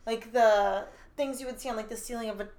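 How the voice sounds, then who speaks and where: A woman's voice: on the high side, steady tone, brisk, a little mellow. A woman in her twenties co-hosting a fashion podcast, upbeat and agreeable, with many casual fillers. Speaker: podcast co-host. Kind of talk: casual chat.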